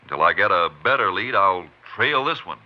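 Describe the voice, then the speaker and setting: An American actor playing a steady, dogged Texas Ranger in a 1950s radio western, speaking in a dry, plain, folksy way. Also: male voice, deep voice, a little fast. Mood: intent. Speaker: lead actor and narrator. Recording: muffled, noisy 1950s radio recording.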